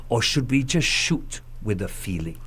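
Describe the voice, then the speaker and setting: A man's voice: on the low side, very animated, a little slow, fairly bright tone, slightly rough. A man co-hosting a morning show from a radio studio. He keeps the banter light, teasing and joking with his guests. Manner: teasing, jokey. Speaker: radio co-host.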